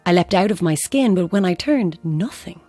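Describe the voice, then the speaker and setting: A woman's voice: on the low side, lively intonation, moderate pace, dark tone, no room sound, soft background music. An actress playing a bereaved mother who believes in ghosts, blunt and insistent, in a naturalistic audio drama. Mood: grave, haunted.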